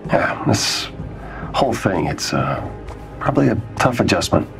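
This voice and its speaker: An awkward, earnest scientist in a game cutscene. He talks haltingly in a lowish but varied voice, with faint music underneath. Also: big pitch swings, vocal fry, full character voice. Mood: concerned, awkward. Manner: hesitant, halting. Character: awkward, mild-mannered male scientist, hesitant and earnest. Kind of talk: video game cutscene acting.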